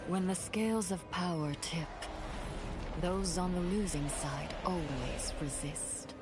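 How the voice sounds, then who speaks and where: Divine guide, low pitch, unhurried, hushed, breathy, grave, oracular, fantasy game, prominent music behind. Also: female voice. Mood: solemn, cryptic. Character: solemn mysterious divine woman guiding a young heroine. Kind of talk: scripted fantasy game cutscene acting.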